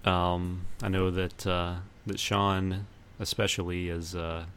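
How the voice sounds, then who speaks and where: A man hosting a podcast in casual chat, often pausing partway through his sentences. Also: low voice, little pitch movement, a bit bright, clean tone. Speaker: podcast host. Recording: noticeable background noise.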